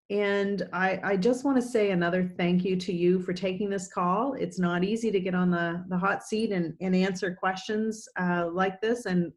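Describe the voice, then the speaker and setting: A woman host, moderating a video call politely, with many ums and halting restarts. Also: lowish voice. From New Brunswick, Canada. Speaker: chamber of commerce host.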